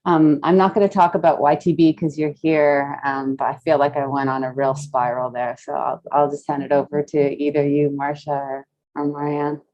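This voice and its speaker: A middle-aged woman with a fairly deep voice talks informally as a guest speaker. She is reflective and roundabout, often pausing to search for the next word as she tells her story. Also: flat delivery, darkish tone, highs cut off. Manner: hesitant, meandering, reflective. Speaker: guest speaker, gallery curator. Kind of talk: casual storytelling in an online talk.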